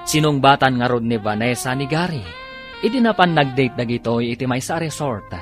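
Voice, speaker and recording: A man's voice: mid-range voice, lively intonation, moderate pace. A man narrating and announcing an Ilocano radio drama, with dramatic emphasis and an Ilocano accent. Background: music.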